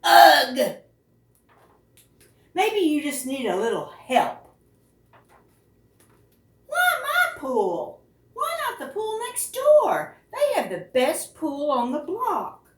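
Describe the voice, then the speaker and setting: A woman's voice: high-pitched, wildly swooping pitch, slow, pauses often, voice pushed up, full character voice. A woman voicing an exasperated, pleading young boy from a picture book, in a dramatic, wheedling, swooping manner. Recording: roomy echo, distant microphone.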